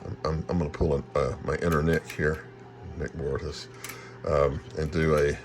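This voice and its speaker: A very low-voiced man talks through a casual equipment demonstration. He speaks haltingly, thinking aloud as he goes, with clear static and hiss behind him. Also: level tone. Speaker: radio hobbyist demonstrating equipment. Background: shortwave radio noise.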